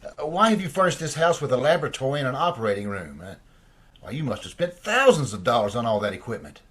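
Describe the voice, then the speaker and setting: A man's voice: mid-range voice, fast. A man playing an alarmed, sputtering surgeon in an old-time radio drama. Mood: bewildered. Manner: excitable, sputtering, incredulous. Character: alarmed, indignant male surgeon cousin under pressure.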